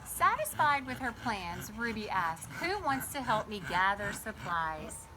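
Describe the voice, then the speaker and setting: A woman hosting a children's storybook read-aloud outdoors, chatting in an animated way and putting questions to her young listeners. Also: medium pitch, unhurried, noisy background. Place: garden, sitting outdoors by a small table fort.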